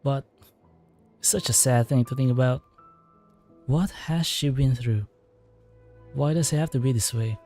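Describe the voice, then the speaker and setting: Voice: medium pitch, a little slow, pauses often, a little mellow, soft background music. A game streamer casually commenting on a story quest, musing and reacting aloud as they play.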